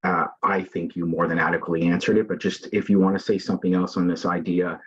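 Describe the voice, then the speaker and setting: A man's voice: low voice, fairly even intonation, fast talker, slightly rough, muffled recording. A man moderating an online discussion, speaking in an emphatic, impassioned way and apologizing for carrying on. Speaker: event host and moderator. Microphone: video call.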